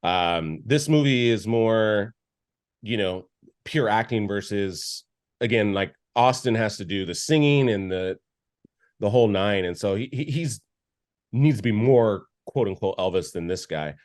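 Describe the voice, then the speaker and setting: Low-voiced man rambling through a film review. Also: expressive, a little slow, a little mellow. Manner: loose and rambling, with frequent restarts and filler words. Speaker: podcast co-host, film reviewer. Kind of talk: casual chat.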